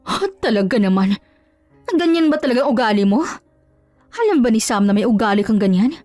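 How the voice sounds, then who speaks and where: A woman playing a proud young woman in a love story, courteous but firm and quick to stand up for herself. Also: a little high, expressive, darkish tone, dry recording, soft background music, lightly acted. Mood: defiant. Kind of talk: dramatized audio love story.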